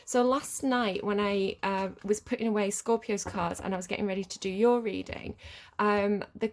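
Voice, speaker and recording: A woman talks through a tarot reading in a loose, wandering way, stopping and restarting often. Her voice is sits at an ordinary middle pitch and is stuffy and sniffly from a cold. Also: steady tone, normal speed. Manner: rambling and hesitant, many ums and restarts. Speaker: tarot reader. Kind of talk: casual collective tarot reading to camera.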